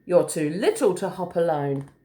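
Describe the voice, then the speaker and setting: A woman's voice: fairly low pitch, expressive, normal speed, mellow, natural voice. A woman voicing a bossy, doubting, dismissive possum in a children's storybook. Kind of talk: children's storybook read aloud.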